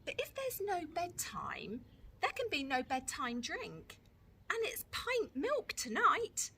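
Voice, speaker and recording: Woman playing a patient older brother, coaxing, bright tone, very animated pitch, storybook dialogue, some background noise. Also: slow pace, full character voice. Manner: coaxing, reasoning.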